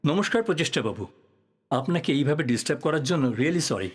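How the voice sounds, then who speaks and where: Audio drama reporter, male actor: husky, lively pitch movement, courteous, inquisitive, quick to apologise and soothe. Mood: apologetic. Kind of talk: Bengali audio drama acting.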